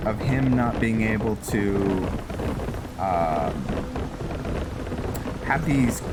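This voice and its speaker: A man's voice: fairly low pitch, heavy background noise. A man giving casual, rambling game commentary, thinking aloud and often searching for words as he narrates a roleplay playthrough. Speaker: gaming video host narrating a roleplay playthrough.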